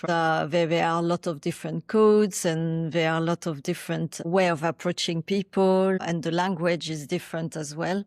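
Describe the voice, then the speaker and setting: Woman in her 30s, pauses and restarts a lot, slightly low voice, dry mic. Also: a little mellow, no room sound. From France. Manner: thoughtful, with frequent restarts and hesitations. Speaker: podcast guest. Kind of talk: reflective interview answers.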